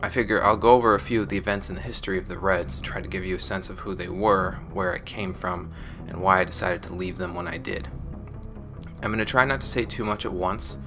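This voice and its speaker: A low, measured voice from a man acting as a former gang member looking back. The delivery is reflective and explanatory, like a scripted sci-fi audio log, with music playing clearly underneath. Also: fairly even intonation, natural voice. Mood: measured reflection. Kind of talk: scripted in-character audio diary narration.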